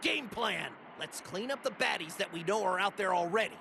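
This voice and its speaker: An actor playing an eager, good-natured hero fighter in an anime video game, with a scratchy voice. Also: male voice, animated, moderate pace, vocal fry, over music. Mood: eager, urgent. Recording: noisy rumbling ambience behind.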